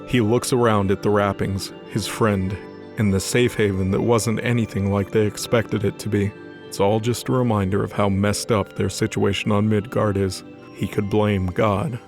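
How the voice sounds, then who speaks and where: A man's voice: lowish voice, little pitch movement, normal speed, darkish tone, soft background music. A man giving scripted narration for a dramatized fantasy story.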